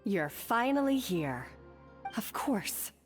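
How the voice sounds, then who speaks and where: A woman's voice: mid-range voice, very animated, takes it slowly, big-room sound, soft background music. A woman voicing a bitter, accusing woman confronting her betrayer in a video game. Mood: cold, accusing.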